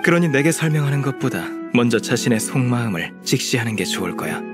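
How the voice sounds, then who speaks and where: Man as aloof immortal mentor, stern, solemn, clipped phrasing, mid-pitched male, video game, clear music underneath. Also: very animated, quick pace, natural voice. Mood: solemn, instructive. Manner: curt, strict, solemn. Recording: music playing behind the voice.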